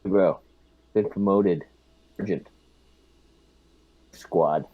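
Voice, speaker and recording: A man's voice: low voice, extremely slow, husky, dry recording, dull audio, full character voice. A man playing a curt marine lieutenant in a tabletop game, speaking in a clipped, commanding way. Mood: curt, official. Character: curt male marine lieutenant handing out promotions.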